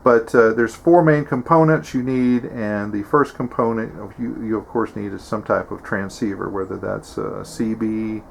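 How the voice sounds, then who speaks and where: A man hosting an instructional vlog in an informal, halting way. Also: lowish voice, steady tone, vocal fry, a little hiss or hum. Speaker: host of a prepper video series.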